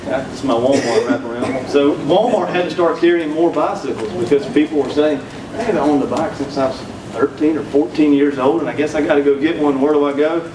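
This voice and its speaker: A man's voice: fairly high pitch, fairly quick, hardly any pauses, crowd noise. A man in his thirties from South Carolina with a Southern accent, giving a conference keynote with folksy, humorous storytelling on a handheld mic. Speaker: keynote speaker. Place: conference room, indoors. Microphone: handheld mic, recorded from far back. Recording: echoey room from a distance.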